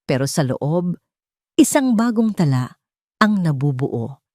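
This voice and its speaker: A woman with a Filipino accent narrating a dramatic first-person story in an expressive, scripted storytelling manner. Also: deep voice, takes it slowly, no echo, clean studio sound.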